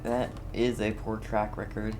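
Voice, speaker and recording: A woman's voice: extremely low pitch, level tone, a little slow, background noise. A woman giving casual live commentary as she plays a game as a streamer.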